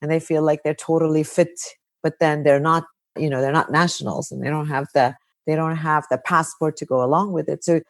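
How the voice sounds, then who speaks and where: A woman's voice: sits low, steady tone, a little fast, no room sound, studio quality. A woman podcast host and counselor, talking in a chatty, anecdotal way full of "like" and "you know.". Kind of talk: casual podcast conversation. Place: Kuwait.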